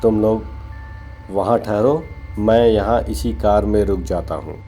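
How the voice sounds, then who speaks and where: A man's voice: on the low side, measured pace, warm tone, soft background music, close to an everyday voice. A man voicing a plain-spoken business partner in a cartoon horror story. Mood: reassuring.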